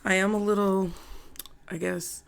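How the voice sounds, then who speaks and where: A hoarse woman giving a casual, chatty tarot reading to her viewers. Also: medium pitch, level tone, a little hiss or hum. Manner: slow, chatty, laughs often. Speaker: tarot reader.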